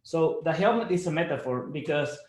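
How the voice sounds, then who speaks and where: A man's voice: fairly high pitch, animated, fairly quick, darkish tone, roomy sound. A young man from El Salvador giving an online webinar presentation, talking casually with lots of fillers like "like" and "you know". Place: home office, based in Germany.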